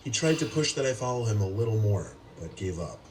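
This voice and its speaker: A man delivers scripted first-person horror narration in a very low voice. The sound is distant, as if played back across a room, with clear noise and ambience around it. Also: sing-song, a little fast. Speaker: scary story narrator. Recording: playback heard from afar, noisy.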